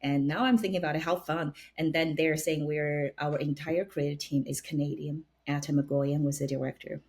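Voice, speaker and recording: A woman in her late thirties from China, a guest on an interview podcast, telling her story in an animated way with many fillers and restarts. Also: deep voice, moderate pace, slightly rough. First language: Chinese. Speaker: guest, opera stage director. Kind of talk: conversational interview.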